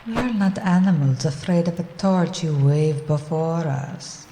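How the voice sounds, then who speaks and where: A woman's voice: deep voice, measured pace. An actress playing a sultry female vampire in a radio play, silky and theatrical as she tempts. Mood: scornful. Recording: long reverb, faint noise.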